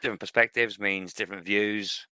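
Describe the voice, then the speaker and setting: A man's voice: lowish voice, steady tone, fairly quick, sharp tone, clean tone, dry recording. A man co-hosting a podcast, chatting casually about films and TV. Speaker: podcast co-host.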